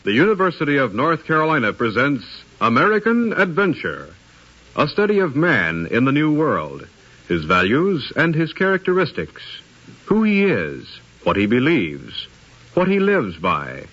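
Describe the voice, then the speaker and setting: A man's voice: on the high side, wildly swooping pitch, moderate pace, fairly bright tone, breathy edge. A man making formal, scripted announcements in the style of 1950s radio. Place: radio studio. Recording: dull 1950s radio recording.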